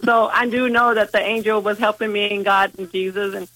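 A woman's voice: medium pitch, fairly even intonation, brisk, fairly bright tone, dry recording. A woman calling in by phone, congested from a sinus infection, telling a folksy story. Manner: chatty storyteller, folksy, repeats herself. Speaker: caller. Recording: dry phone line.

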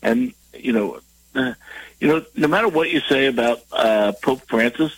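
A man's voice: mid-range voice, moderate pace, breathy edge, low-quality recording. A man with a cold, calling in by phone as a guest columnist, talking in a wry, self-mocking way. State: has a cold. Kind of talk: radio call-in chat. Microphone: phone line.

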